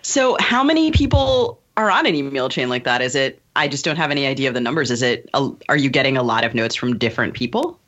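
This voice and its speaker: A woman's voice: a bass voice, animated, fast, hardly any pauses, fairly bright tone, muffled recording. A woman hosting a podcast, asking a guest casual, conversational interview questions.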